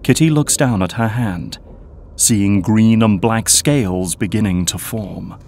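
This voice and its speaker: A man giving scripted narration for an audio drama in an even, descriptive manner. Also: fairly low pitch, unhurried, vocal fry, slight background noise.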